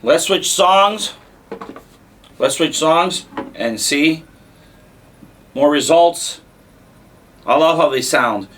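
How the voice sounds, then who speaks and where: Higher-voiced man hosting a casual unboxing. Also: lively intonation, extremely slow, long pauses, a bit bright, a little hiss or hum. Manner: informal, repeats short phrases. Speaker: host. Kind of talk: casual product unboxing and demo.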